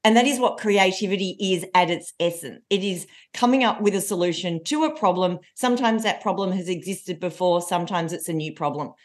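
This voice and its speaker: A woman, a coach telling stories in a podcast interview with a loud, lively voice. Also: lowish voice, brisk, hardly any pauses. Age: 40s. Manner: lively storyteller. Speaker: coach and trainer.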